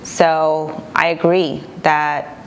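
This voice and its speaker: A young woman attorney from Argentina answering interview questions in a calm, measured, plain-spoken way. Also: sits low, slight background noise, low-quality recording.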